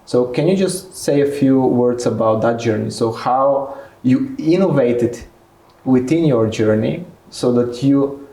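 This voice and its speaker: A man interviewing conversationally as a podcast host, speaking in a measured, reflective way. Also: medium pitch, mellow. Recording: roomy, echoing room sound.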